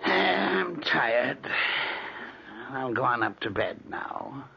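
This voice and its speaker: An actor playing an irritable, fussy old uncle who grumbles and hesitates, in 1940s radio drama style. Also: male voice, medium pitch, expressive, drawn-out words, gravelly, sharp tone, a little hiss or hum, full character voice. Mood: irritable, weary. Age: older. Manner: grumbling, hesitant, easily annoyed. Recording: dull vintage 1940s radio recording.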